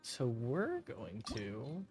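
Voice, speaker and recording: A man's voice: medium pitch, huge pitch swings, extremely slow, music in the background. A man giving casual, rambling live commentary while streaming a game, muttering to himself.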